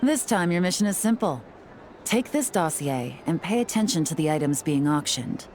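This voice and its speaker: Brief: actress as a cool, collected spy, low and unhurried, game dialogue. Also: female voice, a little hiss or hum, close to an everyday voice. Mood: composed. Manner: calm, measured, self-assured. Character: cool, composed female spy and tea house owner. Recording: game audio with faint music.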